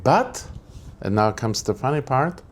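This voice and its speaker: A man's voice: mid-range voice, big pitch swings, measured pace. A man from Greece, hosting a casual vlog update indoors, grumbling and rambling and correcting himself as he goes. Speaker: photography channel host. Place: indoors, air conditioning switched off.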